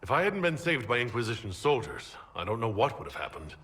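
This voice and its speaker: A fast-talking, formal investigating agent. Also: male voice, mid-range voice, big pitch swings, a bit bright. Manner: formal, measured wording, businesslike. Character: brisk, probing male agent of a fantasy inquisition. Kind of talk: dramatic fantasy game dialogue. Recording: prominent background music under the voice.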